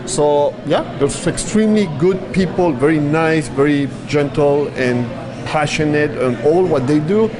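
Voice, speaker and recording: A man's voice: on the high side, measured pace. A man hosting a show, interviewing guests on location and often repeating his words. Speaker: show host. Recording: noisy crowd around.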